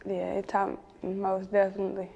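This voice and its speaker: A woman's voice: medium pitch, monotone, fairly quick, vocal fry, warm tone, slightly rough, big-room sound, highs cut off. A woman with a Chicago accent chatting casually as an interview guest, candid and slangy. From Chicago, United States. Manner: candid, slangy, often chuckling.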